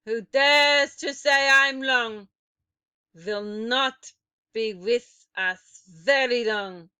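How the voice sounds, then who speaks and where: A woman voicing a furious, commanding witch tyrant in a storybook reading, with an imperious, drawn-out, shrieking delivery. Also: fairly high pitch, bright, forceful tone, smooth, full character voice. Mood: menacing chant. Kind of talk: dramatic storybook character reading.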